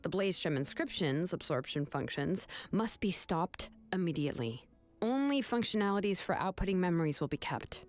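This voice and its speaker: Measured, firm young woman researcher, voiced by an actress. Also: fairly low pitch, expressive, faint music behind, close to an everyday voice. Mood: firm, businesslike. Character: composed, principled young woman inscription researcher. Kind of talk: scripted game dialogue.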